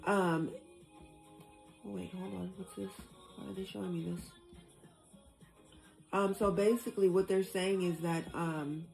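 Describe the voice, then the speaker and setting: A woman with a low, gravelly voice hosts a casual chat. She reads information aloud and works through it as she talks. Faint music sits under her voice. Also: fairly even intonation, unhurried, pauses often, darkish tone. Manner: thinks aloud, reads and explains info. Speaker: host. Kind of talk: casual discussion.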